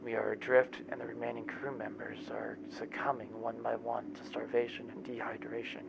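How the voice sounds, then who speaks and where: A man playing a dying starship captain who records a weary, resigned log with deadpan bitterness, in a sci-fi comedy audio drama. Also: mid-range voice, moderate pace, creaky, noisy background. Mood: weary, bitter.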